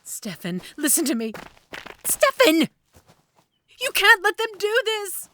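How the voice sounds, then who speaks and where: A woman's voice: very high voice, very animated, slow, bright, forceful tone, slight background noise, exaggerated voice. A woman playing a desperate, pleading deposed queen in an audio roleplay, crying out urgently.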